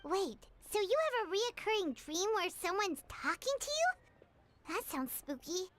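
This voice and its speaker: A woman voicing a tiny, excitable female companion who talks about herself in the third person, in a game. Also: extremely high pitch, unhurried, over music, heavily acted. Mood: wary. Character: tiny floating female companion, chirpy and nosy. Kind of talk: game voice acting.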